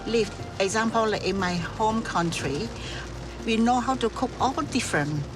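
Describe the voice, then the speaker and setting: A middle-aged woman with a Cambodian accent, chatting casually in halting non-native English. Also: a little high, expressive, music in the background. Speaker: taxi driver, guest. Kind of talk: casual chat. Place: inside a car.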